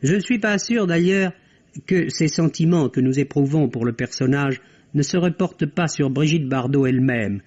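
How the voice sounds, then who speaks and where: A man's voice: on the high side, darkish tone. Dull old-radio recording, man reviewing films. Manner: measured, literary phrasing. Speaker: film critic. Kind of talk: scripted radio film review.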